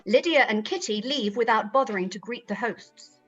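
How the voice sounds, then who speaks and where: A woman narrates a period radio play, reading scene directions in a husky voice. Her pitch sits a little high, and the recording is slightly muffled and lo-fi. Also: moderate pace, a bit bright, soft background music. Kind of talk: scripted narration of stage directions in a live-read radio play.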